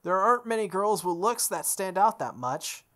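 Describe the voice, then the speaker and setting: A young man reading a visual novel aloud as a let's play host, breaking into casual animated commentary. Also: high voice, moderate pace.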